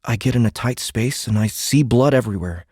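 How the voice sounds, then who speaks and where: A man's voice: medium pitch, fairly quick, darkish tone, breathy edge, no room sound, studio quality, lightly acted. An actor playing a sarcastic, indignant American teenage boy in an audiobook drama, talking in exasperated slang. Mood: uneasy.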